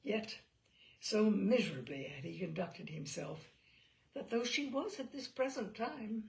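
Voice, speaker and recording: A woman narrating a literary novel in an expressive, measured storytelling manner with deliberate pauses. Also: mid-range voice, creaky. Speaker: audiobook narrator.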